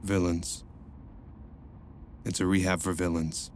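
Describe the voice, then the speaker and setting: A man's voice: low-pitched, level tone, slow pace. A man playing a cheerful male rehab counselor who jokes around in an audio drama. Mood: playful. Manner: playful, jokey.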